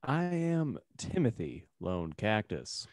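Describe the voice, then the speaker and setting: A man's voice: on the low side, huge pitch swings, takes it slowly, darkish tone, no room sound, clean studio sound. A man co-hosting a podcast in casual, joking banter. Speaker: podcast co-host. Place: home room, online group call. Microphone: close podcast microphone.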